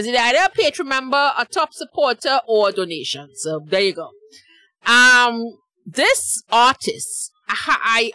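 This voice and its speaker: A woman's voice: a little high, bright, forceful tone, dry recording, low-quality recording. A middle-aged woman with a Trinidadian accent hosting a reaction channel, chatting casually to viewers and laughing at her own slips. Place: small dark home studio. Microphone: large studio mic with headphones.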